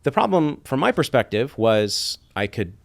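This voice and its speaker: A man's voice: mid-range voice, very animated, moderate pace, vocal fry. A middle-aged man from Iran, a podcast guest, telling his story in a thoughtful, reflective way and acting out quoted voices. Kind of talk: reflective interview talk.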